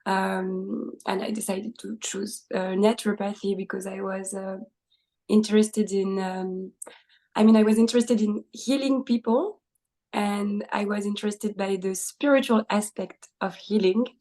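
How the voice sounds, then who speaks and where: Soft-toned young French woman. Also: mid-range voice, fairly even intonation, a little slow. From France. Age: 20s. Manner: hesitant, many fillers, laughs often. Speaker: podcast guest. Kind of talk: casual interview conversation.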